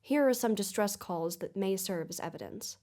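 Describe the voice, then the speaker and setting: A woman playing a composed investigative podcast host, with measured, formal delivery in a scripted audio drama documentary. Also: mid-range voice, lively intonation, warm tone, close to an everyday voice. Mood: measured, serious.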